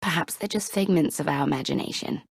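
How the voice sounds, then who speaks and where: An actress playing a cool, sly woman officer who serves a tyrant in a video game, husky and knowing. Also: deep voice, fast talker, no room sound, exaggerated voice. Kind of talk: video game cutscene acting.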